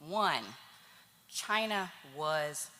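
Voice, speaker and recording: A woman's voice: fairly low pitch, very slow, pushed and bright. A woman giving a chatty, nervous talk to an audience at a church tea event. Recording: hall reverb.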